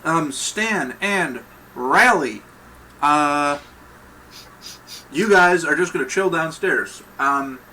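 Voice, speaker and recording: A man's voice: fairly high pitch, lively intonation, slow pace, pauses often, sharp tone, slight background noise, poor audio. A young man narrating as game master in a casual, rambling way.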